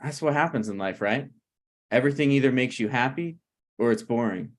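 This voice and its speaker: Man acting flippant male screenwriter, awkward banter, conversational table-read comedy, medium pitch, online call. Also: brisk, close to an everyday voice. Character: flippant male screenwriter, awkward, needling, self-deprecating friend.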